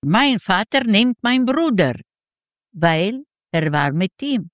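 A woman in her nineties from Ukraine with a Yiddish-Ukrainian accent, telling her story in an interview in halting, simple broken sentences and acting out quoted dialogue. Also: mid-range voice, big pitch swings, no room sound, studio quality. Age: 90s. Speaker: interview guest, Holocaust survivor giving testimony.

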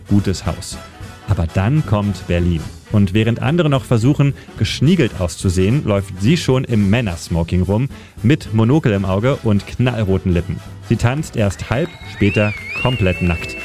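A male radio editor telling a history segment in scripted narration, with dry, wry punchlines. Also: lowish voice. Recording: music bed behind voice.

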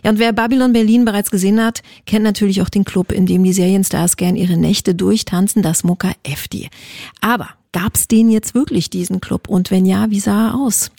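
A woman's voice: mid-range voice, fairly even intonation, a little fast, creaky, warm tone, no room sound, clean studio sound. A woman hosting a radio show in a casual, colloquial and chatty way. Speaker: radio host.

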